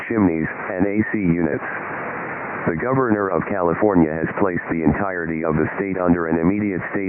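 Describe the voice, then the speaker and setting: A man playing a grave emergency alert announcer, reading a formal script in a measured, impersonal, official manner over a broadcast. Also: deep voice, close to an everyday voice. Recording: tinny, hissy emergency radio broadcast.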